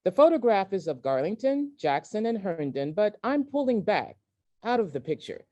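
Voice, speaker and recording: A woman reading memoir excerpts aloud in a measured, emphatic way, with deliberate pauses. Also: medium pitch, very animated, mellow, muffled recording. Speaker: guest reader at a virtual event. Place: indoors at a community center, on an online event.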